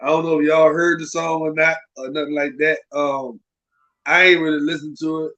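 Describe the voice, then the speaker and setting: A man's voice: a little high, flat delivery, normal speed, sharp tone, lo-fi sound. A man co-hosting a podcast with a stuffed-up nose from a cold, talking casually and animatedly, often repeating himself. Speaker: podcast co-host. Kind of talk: casual chat.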